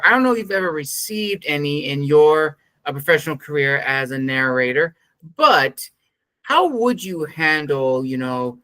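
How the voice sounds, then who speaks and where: A man's voice: fairly high pitch, sharp tone, clean tone, dry recording. A man hosting an interview podcast, asking earnest, rambling questions full of fillers. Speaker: podcast host interviewing a narrator.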